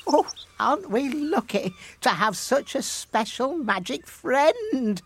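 A woman acting an excitable, haughty wife and queen in a children's audio story, exclaiming theatrically with drawn-out words. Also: mid-range voice, breathy edge, long reverb tail, exaggerated voice. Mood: delighted.

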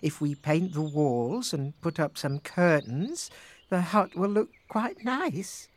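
A woman playing a self-important but affectionate wife in a children's fairy tale, with sighs and animated exclamations. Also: low voice, slow. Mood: gentle, hopeful.

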